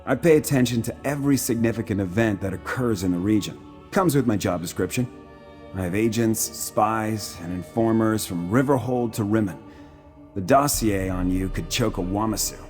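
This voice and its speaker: An actor playing a proud, shrewd Imperial military commander in a video game, speaking formally and with dry self-assurance. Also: male voice, on the low side, normal speed, darkish tone, natural voice. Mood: smug, dry. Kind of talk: video game character dialogue. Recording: music playing behind the voice.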